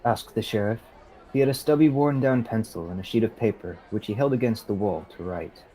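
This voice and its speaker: A man's voice: fairly low pitch, a little fast, mellow, poor audio. A young man reading scripted narration for a radio theatre play. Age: young adult.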